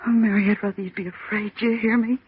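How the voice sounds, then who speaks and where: A woman's voice: mid-range voice, steady tone, quick pace, slightly rough. An actress playing a frightened office woman fighting to keep control, urgent and pleading, in an old-time radio horror drama. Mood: desperate pleading. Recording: tinny, muffled vintage radio recording.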